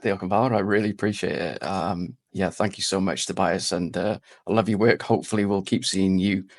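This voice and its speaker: A man's voice: deep voice, fairly even intonation, brisk, no room sound, clean studio sound. A man hosting a casual, chatty interview. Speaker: host, interviewer.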